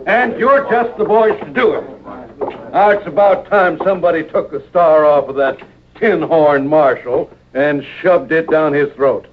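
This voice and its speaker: A blustering, higher-voiced western agitator. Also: male voice, a little slow. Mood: rabble-rousing. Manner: pompous, goading. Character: blustering, spiteful male frontier rabble-rouser. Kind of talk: 1950s radio western acting. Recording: tinny vintage radio recording.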